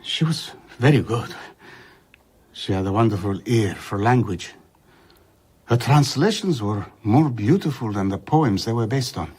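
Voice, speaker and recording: An actor playing an older man, a husky-voiced traveller reflectively telling of his youth in a radio drama. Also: on the low side, expressive, unhurried, a little mellow. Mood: wistful. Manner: reflective storytelling.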